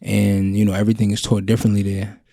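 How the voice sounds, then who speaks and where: Male podcast co-host, relaxed and thoughtful, mid pitch, dry close recording. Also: fast, vocal fry, no echo. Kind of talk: casual chat.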